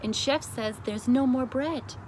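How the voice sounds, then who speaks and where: A woman's voice: high voice, a little slow, a little mellow, a little hiss or hum, pitched above the performer's own voice, low-quality recording. A woman voicing a deferential, apologetic male royal servant in a story, delivering confidential asides.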